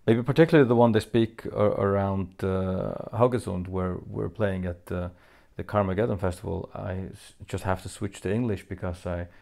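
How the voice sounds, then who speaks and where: A man from the Faroe Islands, a native Faroese speaker, answering fan questions in a casual monologue, halting with many fillers and restarts. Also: low-pitched, fairly even intonation, creaky, darkish tone.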